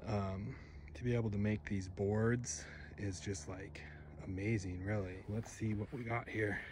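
Low-voiced young man rambling outdoors. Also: measured pace, very noisy. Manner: relaxed, rambling, many ums. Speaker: video creator. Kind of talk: casual narration while working. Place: outdoor backyard.

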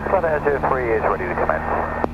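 A man's voice: medium pitch, big pitch swings, fast. A man making brief pilot's calls over aircraft radio. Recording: muffled radio, loud engine noise.

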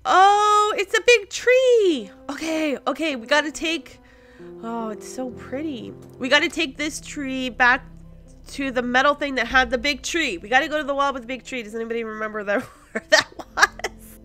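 A woman's voice: high-pitched, lively intonation, normal speed, pushed and bright, faint music behind. A woman giving casual let's play commentary as host, thinking aloud and exclaiming in surprise as she goes. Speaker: host of a gaming series.

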